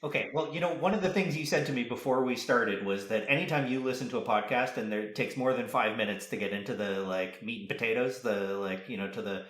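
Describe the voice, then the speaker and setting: A man's voice: mid-range voice, fast, few pauses, fairly bright tone. A man acting as guest interviewer on a podcast, chatting in a rambling, enthusiastic way. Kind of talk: casual interview chat. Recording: roomy, echoing sound.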